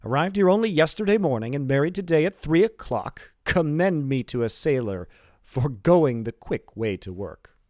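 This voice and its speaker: A man's voice: a little high, very animated, normal speed, darkish tone, dry recording, clean studio sound, pitched above the performer's own voice. A man voicing a jovial old father in a storybook, exclaiming heartily in amazement. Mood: amazed, jovial.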